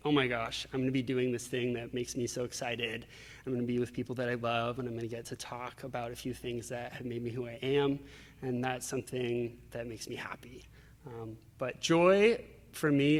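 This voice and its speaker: A young adult man speaking casually and conversationally as a guest in an interview. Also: medium pitch. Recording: long reverberant hall echo.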